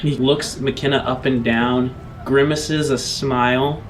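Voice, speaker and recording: A man's voice: mid-range voice, steady tone, slow pace, noisy background. A man running a tabletop game as game master, improvising descriptive narration in a conversational way. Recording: music playing under the voice.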